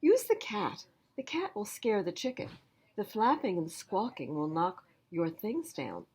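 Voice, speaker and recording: A woman's voice: medium pitch, big pitch swings, unhurried, a little mellow, husky, pitched above the performer's own voice, heavily acted. A woman voicing a busy storybook farm relative who gives emphatic, practical instructions. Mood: busy, helpful.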